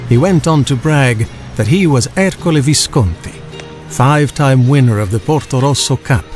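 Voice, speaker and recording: A man's voice: medium pitch, normal speed, a little mellow. Male storybook narrator over music. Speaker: audiobook narrator. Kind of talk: scripted children's storybook narration.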